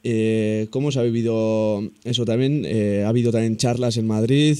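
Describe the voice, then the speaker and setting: A man with an accent from Spain puts questions in a conversational interview style. His voice is fairly low, dark and mellow, recorded dry and close. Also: steady tone, moderate pace, clean tone, no echo. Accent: Spanish from Spain.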